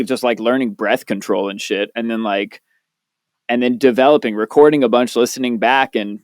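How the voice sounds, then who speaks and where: Laid-back swearing man, smooth voice. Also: mid-range voice, no echo, clean studio sound. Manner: laid-back, swears freely, animated storytelling. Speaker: podcast co-host. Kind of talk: casual chat.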